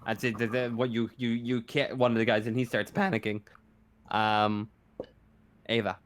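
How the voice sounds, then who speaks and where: A man acting as game master, narrating a tabletop game casually with halting, improvised phrasing. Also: mid-range voice, flat delivery, no echo.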